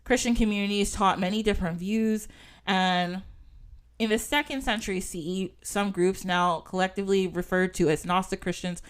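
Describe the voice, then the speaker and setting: A woman's voice: medium pitch, steady tone, normal speed, clean tone. A woman hosting a podcast, talking in a chatty, casual way with lots of fillers and frequent giggles, sometimes reading from an article. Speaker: podcast host.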